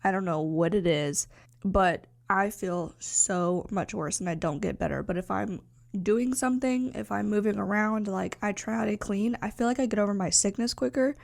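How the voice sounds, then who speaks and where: A young woman with a cold hosts a true crime podcast, her voice stuffy and congested. The tone is gravelly, with plenty of fry, and the recording is dry and close. She chats casually and uses lots of filler words. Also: mid-range voice, normal speed, no echo. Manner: chatty, many fillers. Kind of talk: casual chatty podcast talk. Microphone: sitting to film, close mic.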